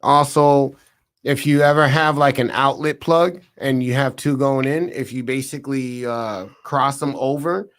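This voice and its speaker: A man co-hosting a podcast, talking casually in loose slang. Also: medium pitch. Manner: loose slangy talk, drawn-out asides. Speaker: podcast co-host. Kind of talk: casual chat.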